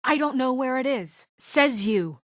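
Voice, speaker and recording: A woman's voice: sits high, animated, measured pace, a bit bright, no room sound, studio quality. An actress playing a young, no-nonsense fairy-tale administrator woman in a video game, speaking in a businesslike, urgent and matter-of-fact way. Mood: insistent, impatient. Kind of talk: naturalistic video game voice acting.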